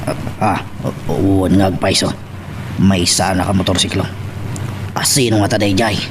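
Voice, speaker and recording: Casting: male actor as uneasy, obedient Filipino henchman, lowish voice, urgent delivery, vehicle noise behind. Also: fairly even intonation, moderate pace, recorded from a distance, natural voice. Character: deferential male henchman on the run. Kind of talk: radio drama acting in Ilocano.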